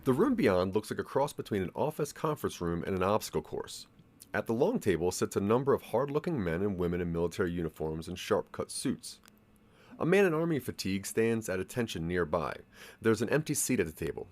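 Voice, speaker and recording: A man's voice: lowish voice, very animated, brisk. A man narrating a scripted second-person story for an audio drama, with a dramatic storytelling manner.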